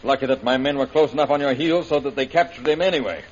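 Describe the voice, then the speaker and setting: A menacing revolutionist warlord from a 1930s radio serial, played by a man. He sneers and gloats in a husky, medium-pitched voice, domineering and theatrical. The recording is muffled and dated. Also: fast, natural voice. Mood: angry. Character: arrogant, menacing male revolutionist villain, cruel and gloating.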